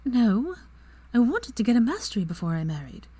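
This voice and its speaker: Woman reading as an eager young witch, raised voice, lively, husky, soft whispers. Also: fairly high pitch, moderate pace, no room sound, pitched above the performer's own voice. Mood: affectionate. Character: bright eager young witch, quick and warm.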